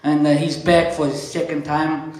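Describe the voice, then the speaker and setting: A man with a South African accent compering a stand-up comedy night, dropping deadpan one-liners and chatting to the crowd from the stage. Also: a little high, normal speed. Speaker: comedy show MC. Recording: very echoey room.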